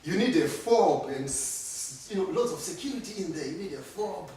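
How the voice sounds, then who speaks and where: A middle-aged man from Zimbabwe telling his life story at a community workshop, unhurried but lively when acting out moments. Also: high-pitched, a little hiss or hum. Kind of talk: personal storytelling. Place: community centre room. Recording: echoey room, distant mic.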